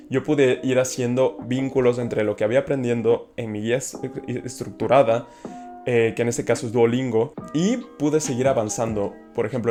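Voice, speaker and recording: Man, Ecuadorian Spanish accent, enthusiastic, casual explainer, lively, soft background music. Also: medium pitch. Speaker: host. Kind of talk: casual explainer talk to camera.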